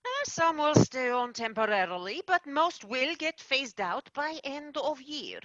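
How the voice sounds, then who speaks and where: A woman's voice: sits high, sing-song, a little slow, a bit bright, dry recording, dull audio, exaggerated voice. A woman playing a weary, exasperated fairy boss. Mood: businesslike. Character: stern older female fairy company boss. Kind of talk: amateur stage-play acting.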